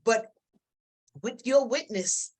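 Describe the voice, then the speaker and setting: A woman hosting an interview, encouraging and affirming her guest with lively exclamations. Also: a little high, takes it slowly. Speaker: host. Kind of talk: casual interview.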